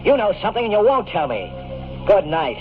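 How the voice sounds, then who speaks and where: A hard-boiled, sardonic male detective, talks fast in a slightly high register. His slangy noir delivery is weary and tough. It sounds like a thin, phone-like old radio recording with music behind it. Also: big pitch swings. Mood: pressing, sardonic. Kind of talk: 1950s radio drama acting, noir first-person narration.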